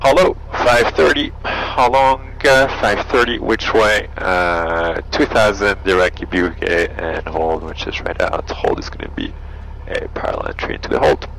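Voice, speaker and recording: Low, gravelly man pilot in a cockpit. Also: measured pace, fairly bright tone, rough recording. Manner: clipped, thinking aloud in short phrases. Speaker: private pilot briefing and flying a small plane. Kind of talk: procedural cockpit briefing and checklist talk. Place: small airplane cockpit. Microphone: aviation headset. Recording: engine and cockpit noise behind voice.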